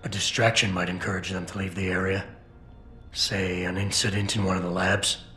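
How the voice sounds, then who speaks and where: An American actor playing a stoic, gravel-voiced cyborg security operative in a video game, terse and deadpan. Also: male voice, sits low, moderate pace. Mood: businesslike. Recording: roomy game audio with music.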